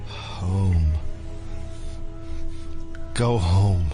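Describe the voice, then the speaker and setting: An actor playing a tormented, bitter captive assassin in an audio drama, with an anguished, sardonic manner. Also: male voice, low voice, drawn-out words, over music. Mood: dazed, haunted. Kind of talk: immersive audio drama acting.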